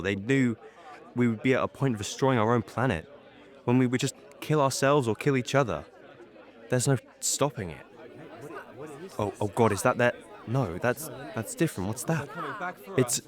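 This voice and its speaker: A young man playing a despairing radio reporter who witnesses an alien invasion, speaking haltingly in a dramatic monologue. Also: medium pitch, natural voice. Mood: panicked, resigned. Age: young adult. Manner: halting, muttering, breaking off.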